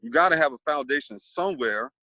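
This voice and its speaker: A man's voice: medium pitch, lively intonation, quick pace, sharp tone, no room sound, rough recording. A man leading a casual panel discussion, thoughtful and rambling with many restarts.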